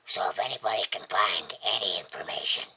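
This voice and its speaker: A vlogger with a husky, breathy, gravelly voice, chatting casually in a rambling, folksy, plainspoken and unhurried way. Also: sits low, bright, forceful tone. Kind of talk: casual rambling chat to camera.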